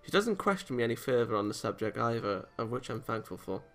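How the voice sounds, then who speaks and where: Gravelly, bright-voiced man reading aloud. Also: mid-range voice, very animated, fast. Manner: stumbles over and repeats read lines. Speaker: let's play host. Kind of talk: reading a visual novel aloud with casual commentary. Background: game music.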